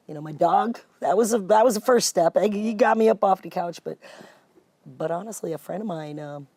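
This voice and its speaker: A woman musician telling her story in a relaxed interview, animated and chatty, calling people "man.". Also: on the low side, fairly quick, no echo. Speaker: guest musician and vocalist. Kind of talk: casual interview chat.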